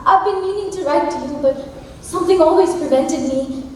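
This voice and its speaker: A woman on a school stage playing an excitable, chatty young wife who is self-absorbed and secretive, speaking theatrically. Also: extremely high pitch, fairly quick. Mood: excited, sympathetic. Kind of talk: school stage play acting. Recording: echoey hall, distant mic, faint noise.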